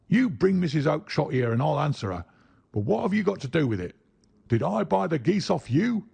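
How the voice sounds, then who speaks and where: A man voicing an angry market salesman who shouts fiercely at a pestering stranger, in a storybook reading. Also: fairly high pitch, very animated, moderate pace, creaky, husky, voice pushed up, full character voice. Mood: angry shouting.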